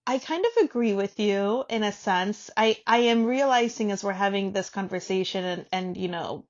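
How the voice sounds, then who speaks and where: A woman talks as a podcast co-host in a loose, conversational debating style. Her pitch sits in the middle of the female range, and she is frank and informal, quick to challenge. The recording is a little dull and lo-fi.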